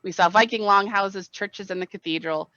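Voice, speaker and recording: Bright voice, dull dry lo-fi recording. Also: mid-range voice, moderate pace, no echo. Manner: warm, admiring. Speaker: friend introducing the guest. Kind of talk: prepared tribute speech.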